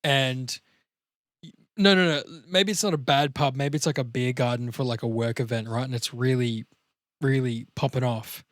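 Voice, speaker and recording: A man's voice: mid-range voice, steady tone, normal speed, fairly bright tone, dry recording, studio quality. An Australian man hosting a podcast in casual, crude banter, blokey and swearing freely. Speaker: podcast host.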